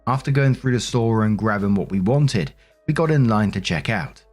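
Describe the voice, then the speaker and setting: Male story narrator, low register, scripted reading plus off-the-cuff host remarks, soft music bed behind. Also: animated, normal speed. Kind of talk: scripted story narration with casual spoken commentary.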